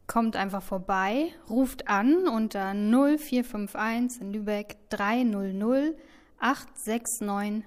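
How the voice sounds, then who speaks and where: Slow-talking woman with a slightly high voice. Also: a little mellow. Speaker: new member giving contact details.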